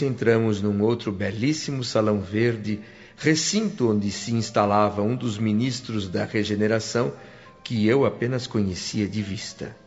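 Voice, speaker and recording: An actor narrating a radio drama in first person, calm and measured. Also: male voice, medium pitch, highs cut off, lightly acted. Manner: calm, measured, softening to a hush. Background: faint music.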